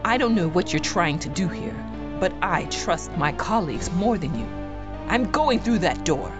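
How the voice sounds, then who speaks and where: A woman's voice: lowish voice, sing-song, moderate pace, music in the background. An actress playing an anxious, commanding woman politician, urgent and protective, in an audio drama. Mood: wary, defiant. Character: anxious, commanding female politician leading trapped colleagues.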